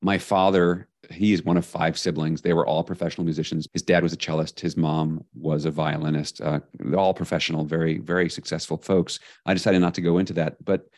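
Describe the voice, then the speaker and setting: A man being interviewed as an author on leadership, talking in an energetic, emphatic way. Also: deep voice, a little fast, few pauses, dry recording, clean studio sound. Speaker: podcast guest, leadership author. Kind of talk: casual interview talk.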